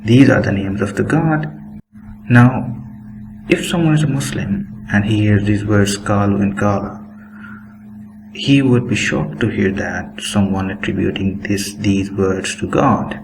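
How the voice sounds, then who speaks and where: A man giving a critical commentary on book excerpts while showing screenshots, pacing himself deliberately and opening most sentences with "now". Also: on the low side, vocal fry, noisy background. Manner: critical, disapproving tone. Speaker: religious commentator.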